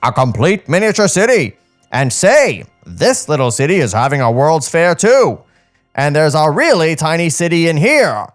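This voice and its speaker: A man playing a brisk, excited cartoon announcer hawking the wonders of the future in old newsreel style. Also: a little high, soft background music. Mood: grand, excited.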